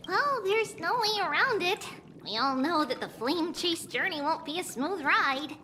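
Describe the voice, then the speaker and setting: Very high, childlike demigod girl. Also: lively intonation, sharp tone, a little hiss or hum, exaggerated voice. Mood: matter-of-fact, resigned. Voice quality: childlike, light voice. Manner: unhurried, speaks of herself as "we". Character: small girl demigod, childlike, cheerful, calmly accepting. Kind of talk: video game voice acting.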